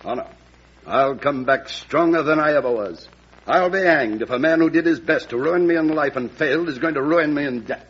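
An actor playing a proud, bitter disgraced politician in a radio drama, with a husky voice, formal intense delivery. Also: male voice, a little high, brisk, fairly bright tone. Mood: bitter, defiant. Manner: formal, intense, self-justifying. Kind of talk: old-time American radio drama acting. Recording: dull vintage radio recording.

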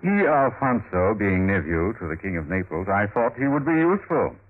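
A man's voice: on the low side, big pitch swings, moderate pace. A man acting a scheming, jealous Renaissance nobleman in a 1950s radio drama, with florid, lingering delivery. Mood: brooding, jealous. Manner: florid, theatrical, lingering on words. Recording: muffled vintage radio recording.

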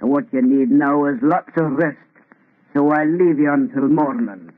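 A man's voice: a little high, moderate pace, lightly acted. Ship's captain, male actor: authoritative yet kindly, old radio drama delivery, lo-fi narrow sound. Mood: kindly, reassuring. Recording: muffled lo-fi old radio recording.

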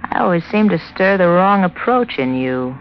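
Muffled vintage radio, sultry scheming femme fatale, woman with lively swooping tones, coy, flirtatious. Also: lowish voice, normal speed. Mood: coy, seductive. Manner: coy, seductive. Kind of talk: old-time radio noir acting. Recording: muffled old radio recording, faint noise.

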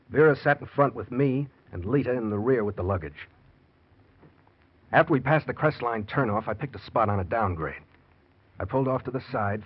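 Animated scheming radio-drama husband. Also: male voice, on the low side, slightly rough, exaggerated voice. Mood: cold, calculating. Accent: American. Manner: intense, theatrical confessional narration. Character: scheming, nervy murderous husband confessing his plot. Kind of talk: classic American radio drama acting. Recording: muffled, noisy old radio recording.

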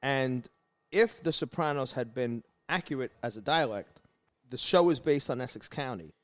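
A man hosting a casual podcast chat, talking in a rambling, animated way. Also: mid-range voice, no echo. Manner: rapid-fire, rambling, animated. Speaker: podcast host. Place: pastry shop.

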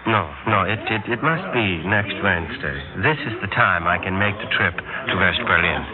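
An actor playing an ardent, secretive young East German escapee, coaxing and persuasive, in an old-time radio drama. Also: male voice, deep voice, moderate pace, crowd noise, lightly acted. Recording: tinny vintage radio recording, music behind.

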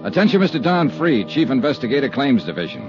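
An actor playing a cool, sardonic insurance investigator in 1950s radio drama, with a clipped, dry, hard-boiled manner. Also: male voice, mid-range voice, very animated, fast talker, lightly acted. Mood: brisk, businesslike. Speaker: lead actor and narrator. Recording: muffled 1950s radio recording with music.

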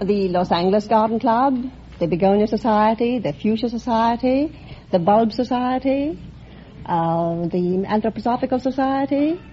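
A woman's voice: a little high, moderate pace, warm tone, slight background noise. A woman contestant on a quiz show, answering questions politely and earnestly. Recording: dull old radio recording.

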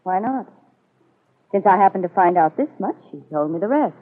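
A woman's voice: medium pitch, animated, moderate pace, natural voice. An actress playing a confident, scheming middle-aged woman in a 1940s radio soap, cool and insinuating. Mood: coolly threatening. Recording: muffled old radio broadcast.

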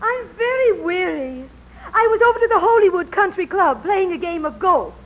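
An actress playing an affected, languid and weary society lady with a drawn-out delivery, in 1940s radio comedy style. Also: very high-pitched, lively intonation. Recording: old radio recording, muffled, noisy.

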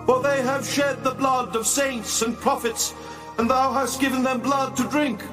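A man playing a solemn angel who praises God in measured declamation, in a dramatized scripture audiobook. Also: very high voice, steady tone. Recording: distant voice over prominent music.

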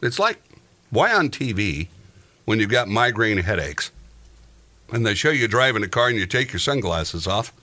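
A man's voice: on the low side, very animated, moderate pace, long pauses, sharp tone. A man hosting a podcast in casual comic chat, joking and rambling through everyday stories. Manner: joking, rambling, tells exaggerated everyday anecdotes. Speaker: podcast host.

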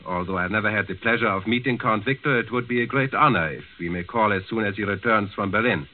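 A man's voice: fairly low pitch, fast, narrow, tinny audio, close to an everyday voice. Man playing imperious Nazi governor, old radio drama. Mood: formally polite. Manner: clipped, officious, condescending. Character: imperious Nazi German district governor, suave and menacing. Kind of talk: 1940s radio acting. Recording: band-limited old 1940s radio recording.

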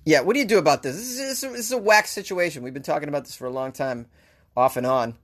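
Man, Boston accent, talk radio host, sarcastic news rant, voice a bit high. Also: expressive, very fast, a bit bright. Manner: sarcastic, ranting, laughs often. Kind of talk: opinionated news commentary.

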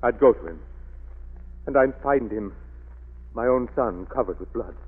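A man's voice: mid-range voice, unhurried, slightly rough. Tormented middle-aged father, tinny radio. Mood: anguished confession. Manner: anguished, melodramatic. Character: tormented wealthy father guarding a dark family secret. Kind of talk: 1940s radio acting. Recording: thin phone-like vintage radio recording.

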